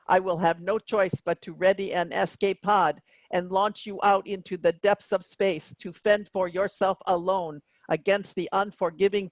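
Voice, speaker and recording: Man voicing a stern, slow robotic ship computer. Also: sits high, no room sound, natural voice, low-quality recording. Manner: formal, measured, humorless announcements. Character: automated robot ship captain, cold and officious. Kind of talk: virtual staged reading of a sci-fi play.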